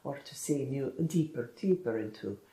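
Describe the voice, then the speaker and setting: Low, mellow woman giving a card reading. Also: animated, moderate pace, reverberant. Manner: gentle, reassuring, musing, often checking in with "okay?". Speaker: tarot reader. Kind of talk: soft-spoken ASMR role-play card reading.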